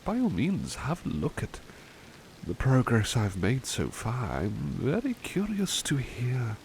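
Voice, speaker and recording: A man playing a devoted, polite butler in an ASMR roleplay, speaking softly and hesitantly with courteous formality, often slipping toward a whisper. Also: medium pitch, wildly swooping pitch, slow pace, gravelly, a little hiss or hum, exaggerated voice, low-quality recording. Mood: courteous, warm. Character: devoted, affectionate, polite male butler comforting his companion. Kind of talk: ASMR roleplay, intimate personal attention.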